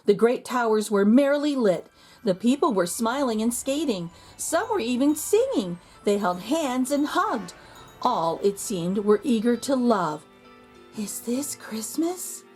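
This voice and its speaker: Slow, animated female story reader for children, fairly high voice. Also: faint music behind. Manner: slow, expressive storytelling with lively pitch. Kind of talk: picture book read-aloud.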